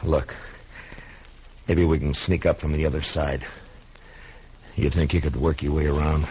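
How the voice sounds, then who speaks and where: A man's voice: a bass voice, level tone, a little slow, a little mellow, slightly rough, full character voice. A man playing a weary, patient marshal in a radio western, laconic and resigned. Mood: measured. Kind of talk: old-time radio western acting. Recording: dull, noisy lo-fi radio drama recording.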